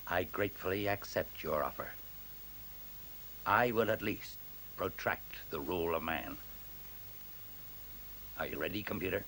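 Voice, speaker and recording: A man's voice: low voice, a bit bright, full character voice, poor audio. An actor playing an embittered professor in a science fiction radio play, a man with a grave, rhetorical, sardonic delivery. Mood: grimly resolved. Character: embittered male professor, grave doomsayer against machines. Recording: faint hiss, 1970s radio recording.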